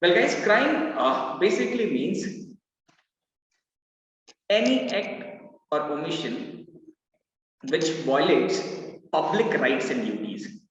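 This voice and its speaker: A man with an Indian accent teaching a live online law class, with a loud voice, mixing Hindi phrases in. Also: fairly high pitch, takes it slowly, long pauses. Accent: Indian English. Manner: lively, mixes in Hindi phrases, reads out student answers. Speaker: law entrance mentor. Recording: echoey, roomy, distant, dull live-class audio.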